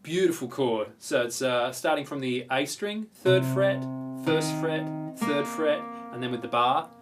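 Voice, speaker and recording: A man's voice: fairly high pitch, unhurried. A man with an Australian accent presenting a casual guitar lesson in an informal, chatty, enthusiastic manner. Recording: guitar playing prominent alongside the voice. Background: acoustic or electric guitar strumming.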